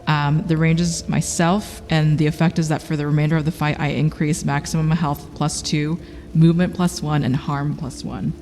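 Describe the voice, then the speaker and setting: A man describing his character's moves as a tabletop game player, halting and dramatic in his storytelling. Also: on the high side, little pitch movement, a little mellow. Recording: long hall reverb, background music. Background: music.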